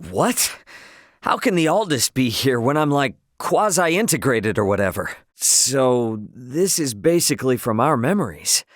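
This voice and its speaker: Game role: brash young male space captain, slangy and good-hearted, lively swooping pitch, dry close sound. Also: unhurried, no room sound. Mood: puzzled. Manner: casual, slangy, plain-spoken. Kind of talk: video game voice acting.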